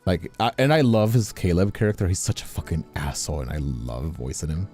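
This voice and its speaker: A man's voice: low-pitched, big pitch swings, brisk, a little mellow, faint music behind. A man who works as a streamer and voice actor, chatting casually in a rambling, candid way and repeating his words. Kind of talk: casual chat.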